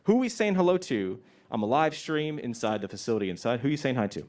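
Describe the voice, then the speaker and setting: A man hosting a live-stream pre-ceremony show outdoors, interviewing graduates with energetic, joking questions he often repeats. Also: medium pitch, fast talker.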